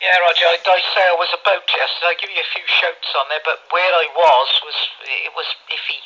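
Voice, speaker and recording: A man's voice: a little high, little pitch movement, brisk, bright, forceful tone, husky. A man chatting casually over the radio in a rambling, chummy way, with mild swearing. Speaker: amateur CB radio operator. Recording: dull lo-fi radio audio, phone recording.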